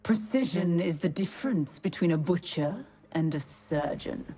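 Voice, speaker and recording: Cool precise assassin woman, game character, mellow low-ish timbre, husky, clipped and poised. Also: animated, normal speed. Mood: cool menace.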